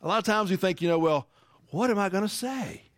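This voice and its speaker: High-voiced preacher guy, animated and emphatic, dry recording. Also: brisk, dry recording. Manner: animated, emphatic teaching with rhetorical questions and laughs. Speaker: pastor. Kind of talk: sermon.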